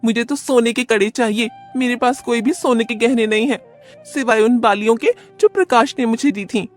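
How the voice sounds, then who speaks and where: A vain, discontented young wife, acted by a woman, her voice pushed high. She complains with greedy self-pity, in storybook cartoon style over music. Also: normal speed, natural voice. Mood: whiny, demanding.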